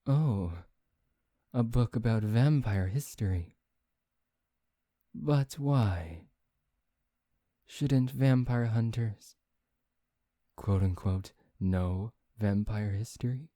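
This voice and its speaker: Man acting as a slow, low-voiced suave vampire. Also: warm tone, no echo, clean studio sound. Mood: calm, explanatory. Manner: teasing, haughty, halting, addressing one listener. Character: suave, teasing male vampire, proud and quick-tempered. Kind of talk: intimate ASMR roleplay monologue.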